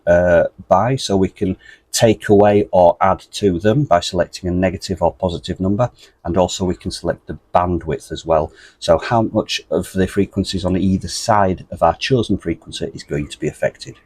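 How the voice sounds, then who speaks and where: A British man presenting a step-by-step ham radio tutorial in a deep, bassy voice, steady and methodical with a few ums. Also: no echo. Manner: steady, methodical, explains with fillers.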